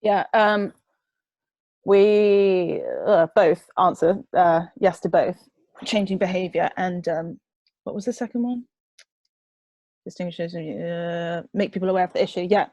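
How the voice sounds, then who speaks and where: A woman's voice: lowish voice, fairly even intonation, a little slow, long pauses, darkish tone, dry recording. A young woman answering questions for her food waste group on a video call, chatty and hesitant, laughing now and then. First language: not Dutch. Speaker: presenter of a food waste charity. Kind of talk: online Q&A answering.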